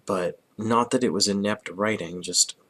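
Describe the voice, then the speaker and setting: A man in his thirties, hoarse from a cold, reviewing a comic casually and rambling with restarts. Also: on the low side, normal speed, a bit bright. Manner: rambling, frequent restarts and throat clearing.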